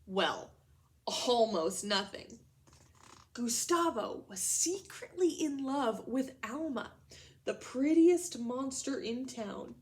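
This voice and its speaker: Higher-voiced woman teaching young children. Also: animated, slow. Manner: instructional, addresses children with simple questions and prompts. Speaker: elementary school teacher. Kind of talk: read-aloud lesson for young children.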